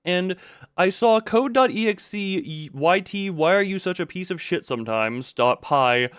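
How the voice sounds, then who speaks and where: A man's voice: high voice, expressive, measured pace, a bit bright, smooth, no room sound, clean studio sound. A man chatting casually and goofily as a game streamer, rambling and often interrupting himself. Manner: goofy, rambling, self-interrupting. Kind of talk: casual livestream chat while setting up a game.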